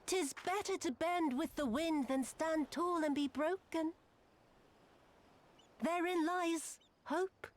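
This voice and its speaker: A woman's voice: extremely high pitch, takes it slowly. An actress playing a cruel, haughty female imperial viceroy who drawls with mocking, theatrical disdain. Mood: scornful.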